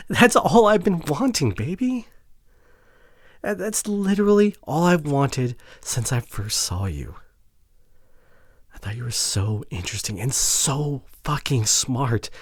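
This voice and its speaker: A man playing a remorseful, devoted boyfriend in an intimate ASMR audio drama, with a breathy voice that often drops toward a whisper and an earnest, halting delivery. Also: a little high, no echo, clean studio sound, full character voice. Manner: emotional, earnest, halting with sighs. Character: remorseful, devoted boyfriend pleading to repair relationship.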